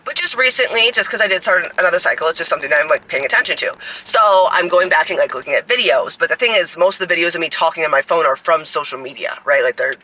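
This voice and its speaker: A woman in her mid-twenties with a deep, raspy voice, rambling casually to a phone camera outdoors, full of "like" fillers and swearing. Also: animated, quick pace, few pauses, bright, forceful tone, rough recording. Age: 20s. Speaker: fitness coach and creator. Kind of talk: casual vlog talk to camera.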